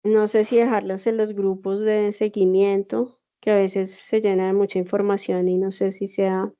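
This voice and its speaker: A woman's voice: mid-range voice, little pitch movement, moderate pace, warm tone. A woman teacher with a Bogotá accent explaining an assignment step by step in an online class, patiently checking that students follow. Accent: Bogotá Spanish. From Colombia. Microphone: video call. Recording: dull lo-fi video call audio.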